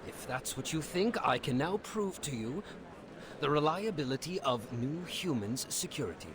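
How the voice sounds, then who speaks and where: A man plays an irascible security executive in a game. His voice is high for a man and swings widely, haughty and bossy, with a clear crowd around him. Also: lively intonation, normal speed, close to an everyday voice. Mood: smug, controlled. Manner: commanding, indignant. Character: haughty, irascible male security company boss.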